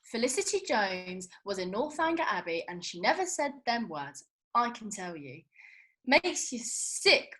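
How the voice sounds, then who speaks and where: A woman's voice: mid-range voice, big pitch swings, measured pace, a bit bright, poor audio. An actress playing a blunt, indignant young British woman with colloquial, sweary speech in a comedy script read. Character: blunt, indignant young woman, film-loving Austen festival-goer.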